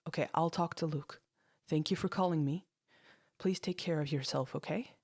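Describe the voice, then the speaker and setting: A caring woman in an audio story, acted by a female narrator, calm and reassuring. Her voice sits low and steady, recorded very dry. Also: normal speed, breathy edge, no echo. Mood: calm concern. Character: steady, caring woman calming someone over the phone.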